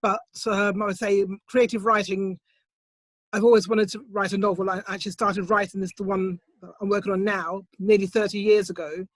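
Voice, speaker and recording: A middle-aged woman poet answering interview questions thoughtfully, in a measured, unhurried way on a video call. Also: medium pitch, level tone, no echo, low-quality recording. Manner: thoughtful, measured, with soft hesitations. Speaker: poet, competition winner. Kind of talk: online interview, reflective answers. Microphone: computer mic on a video call, set quiet.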